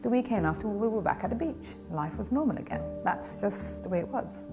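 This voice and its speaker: A woman giving a personal testimony in a quiet, measured, grave way. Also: medium pitch, animated, music in the background.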